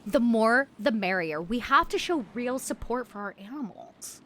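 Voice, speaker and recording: A woman acts out a bubbly female vampire activist for a tabletop game. She is earnest, cutesy and theatrically indignant about animals, with a high, swooping voice over clearly audible background noise. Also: expressive, moderate pace, fairly bright tone. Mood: earnest, perky.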